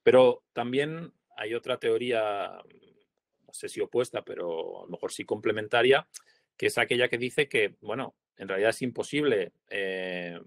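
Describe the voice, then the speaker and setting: A Spanish translator in his forties, speaking in a reflective interview over a video call, pausing often with fillers and asking little tag questions. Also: on the low side, creaky, no echo. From Spain. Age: 40s. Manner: thoughtful, hesitant, many fillers and tag questions. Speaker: translator, prize-winning guest.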